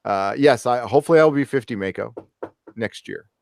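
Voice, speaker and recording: A man's voice: medium pitch, expressive, fairly quick, vocal fry, darkish tone, dry recording, clean studio sound. Laughing, profane man in his forties. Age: 40s. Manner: laughs often, loose and profane. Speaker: podcast host. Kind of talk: casual chat.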